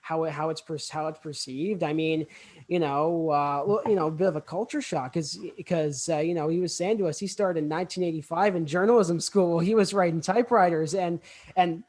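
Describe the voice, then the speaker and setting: Young dude doing a podcast intro, bit higher voice, stammers and repeats himself a lot. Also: male voice, darkish tone. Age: young adult. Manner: halting, stammering with repeated words. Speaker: student podcast host.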